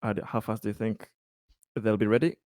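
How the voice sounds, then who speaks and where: A man playing a tabletop roleplaying game, speaking casually and haltingly, often repeating words as he goes. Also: fairly low pitch, flat delivery, a little mellow, no room sound, studio quality.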